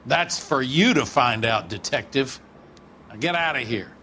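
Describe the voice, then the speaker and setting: Hard, bright-voiced police captain. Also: male voice, medium pitch, lively intonation, unhurried, a little hiss or hum. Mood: brusque orders. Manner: curt, commanding. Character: hard-nosed, foul-mouthed police captain running a squad. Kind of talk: 1940s police drama acting.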